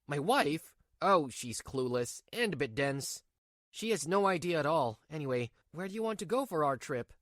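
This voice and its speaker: A woman's voice: sits low, expressive, moderate pace, no room sound, clean studio sound. A woman voicing a selfish, irritable cheating husband with a dismissive manner in a manga dub. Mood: flirty, smug. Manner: dismissive, snappy when challenged. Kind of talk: manga dub character acting.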